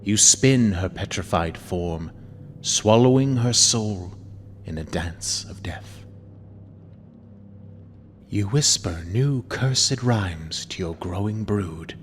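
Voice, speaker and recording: A man narrates a horror tale in a fairly low voice, drawing it out very slowly. His delivery is theatrical and menacing, slipping toward a whisper at times. The voice rings in long reverb. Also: fairly bright tone. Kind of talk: dramatic horror reading, second-person narration. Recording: long reverb over music. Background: music.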